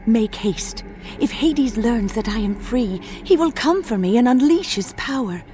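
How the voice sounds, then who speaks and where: An actress playing a gentle, sorrowful goddess of spring in a video game, speaking in a stately, formal manner. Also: female voice, fairly high pitch, animated, measured pace, a little hiss or hum. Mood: urgent. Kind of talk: scripted game cutscene acting. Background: music.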